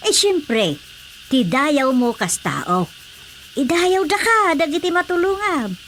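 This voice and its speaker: Older nagging wife, exasperated, animated highish voice, radio comedy drama. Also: female voice, slow pace, slight background noise, exaggerated voice. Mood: scolding.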